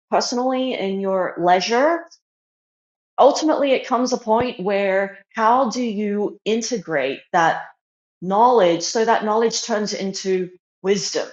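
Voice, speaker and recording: A young woman from China, raised in Australia, talking earnestly as an interview guest. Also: mid-range voice. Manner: earnest, reflective, with fillers. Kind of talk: casual interview talk. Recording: roomy and dull.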